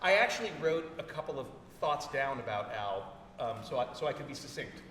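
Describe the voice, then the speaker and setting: A man's voice: mid-range voice, sing-song, fairly quick, fairly bright tone. A man giving a personal tribute speech at a memorial lecture, chatty and self-deprecating. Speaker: invited speaker giving a tribute. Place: lecture hall. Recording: echoey hall from far off with noise.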